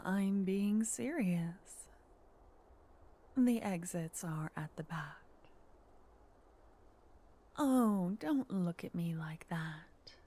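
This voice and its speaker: A woman playing an unhinged, flirtatious yandere arsonist in an ASMR roleplay, teasing and conspiratorial in a breathy half-whisper. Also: lowish voice, warm tone, full character voice.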